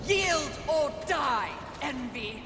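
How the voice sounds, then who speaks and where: A woman's voice: high voice, expressive, very slow, exaggerated voice. A woman playing a proud, hot-tempered warrior horseman in a video game, imperious, scornful and declamatory. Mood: defiant anger. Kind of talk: dramatic video game acting. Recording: echoey reverberant hall, noise behind.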